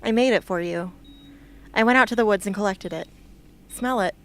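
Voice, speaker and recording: A woman's voice: medium pitch, moderate pace, close to an everyday voice. A woman playing a determined, defiant teenage girl soldier in an amateur radio drama. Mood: gentle. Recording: faint background noise and ambience.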